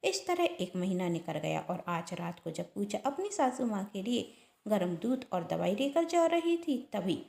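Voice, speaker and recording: A woman's voice: mid-range voice, big pitch swings, moderate pace, vocal fry, dark tone. A woman narrating a scripted moral family story. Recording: roomy echo.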